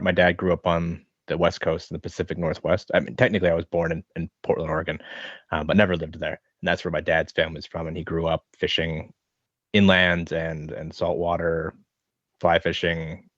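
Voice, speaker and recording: A man in his thirties with an American accent, answering interview questions in a relaxed, thoughtful, rambling way. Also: sits low, brisk, dry recording. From American Midwest. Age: 30s. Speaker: podcast guest, online fitness coach. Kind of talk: casual interview chat. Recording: dull lo-fi sound.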